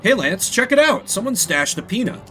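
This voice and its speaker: A man's voice: high-pitched, sing-song, normal speed, bright, forceful tone, faint music behind, voice pushed up, heavily acted. A man voicing an eager, brash young mouse brother in video game dialogue.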